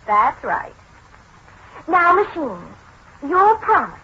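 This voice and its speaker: A woman playing a bossy, wisecracking young woman with a brisk, slangy manner in 1940s radio drama. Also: high voice, big pitch swings, pushed and bright, heavily acted. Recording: noisy, muffled 1940s radio recording.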